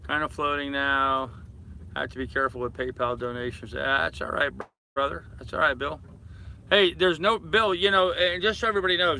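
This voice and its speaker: An older man with a very bright, sharp voice that sits a little high hosts a casual live stream. He rambles from one viewer comment to the next, talking to people like old pals. Faint ambient engine noise sits behind him aboard his boat. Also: poor audio. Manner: rambling, addresses viewers familiarly as brother and man.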